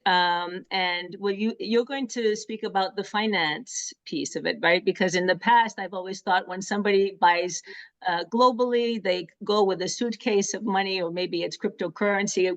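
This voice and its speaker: A woman hosting a webinar, moderating in a conversational, informal way. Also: mid-range voice. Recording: slightly dull call audio.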